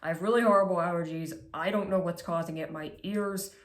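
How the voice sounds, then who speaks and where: A woman's voice: lowish voice, fairly even intonation, a little fast. A congested woman hosting a music ranking video, reading scripted commentary in a casual, slightly sardonic way. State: congested, stuffy nose. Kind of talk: scripted list commentary read aloud. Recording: echoey room.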